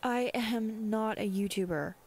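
Woman playing a slow-talking goth college girl. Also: fairly high pitch, darkish tone. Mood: dry, playful. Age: young adult. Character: bashful, dry-humoured goth college girl vlogger. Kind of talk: ASMR roleplay audio drama.

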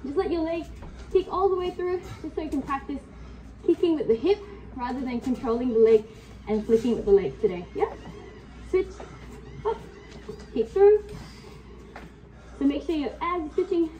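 A woman leading a kickboxing class at home, giving brisk coaching cues while sniffly from a cold, recorded on a far camera. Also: high voice, expressive, mellow, dull audio. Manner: coaching cues, counting time, brisk commands. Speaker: fitness instructor. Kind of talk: live kickboxing class instruction. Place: home workout room. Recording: distant camera mic in a room with faint noise.

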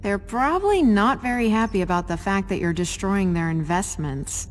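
A woman's voice: medium pitch, expressive, moderate pace, a little hiss or hum, lightly acted. A woman voicing a capable, concerned young female assistant in a video game. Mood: wry.

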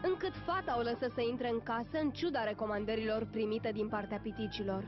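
A woman's voice: on the high side, lightly acted. A woman as storyteller, reading scripted narration for a children's fairy-tale cartoon. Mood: storytelling. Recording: dull lo-fi soundtrack, music behind.

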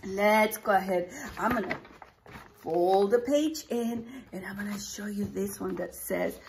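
A woman in her forties, a preschool teacher reading aloud to young children in an animated way, asking questions and pausing for answers. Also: medium pitch, a little hiss or hum. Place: small indoor room with picture books behind.

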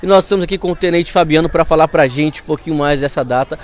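Fast, high-voiced young Brazilian male reporter. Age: young adult. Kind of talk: on-location news interview. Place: outdoors in front of a fire station. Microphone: small handheld clip mic held near the mouth.

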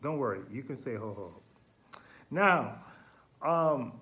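A man around forty speaking in a casual, probing way, halting and deliberate, recorded on a phone indoors. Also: mid-range voice, expressive, dull audio. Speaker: vlogger questioning a character on the phone. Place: small indoor room with sloped wooden ceiling. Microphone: phone at arm's length.

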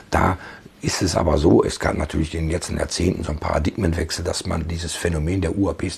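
A man in his fifties with a hoarse voice, giving informal, sceptical commentary to camera as a video host. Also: low voice, darkish tone. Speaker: YouTube host. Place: book-lined study, indoors. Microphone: lapel mic.

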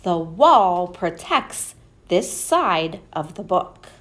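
A woman voicing a small boy knight in a children's picture book read-aloud, with drawn-out, dramatic delivery. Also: on the low side, big pitch swings, fairly bright tone, exaggerated voice. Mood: smug, wary. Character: small boy knight, smug then alarmed, storybook.

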